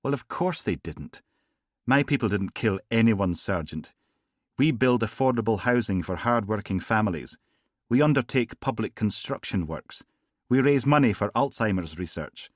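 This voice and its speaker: A man voicing a smooth, smiling gangster boss with an Edinburgh accent, genteel and falsely courteous. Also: lowish voice, lively intonation, normal speed, no echo, clean studio sound. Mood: silky. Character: smooth, smiling male gangster boss, middle-aged, menacing. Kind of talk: audiobook character voice.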